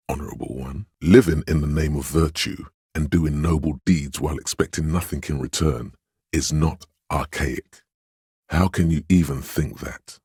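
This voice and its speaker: An actor voicing a solemn, grandiose inner voice of authority in a video game, speaking in a pompous, ceremonious and deliberate manner. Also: male voice, rumbling low, slightly rough, no echo, clean studio sound, exaggerated voice. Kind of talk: scripted game voice lines, theatrical narration.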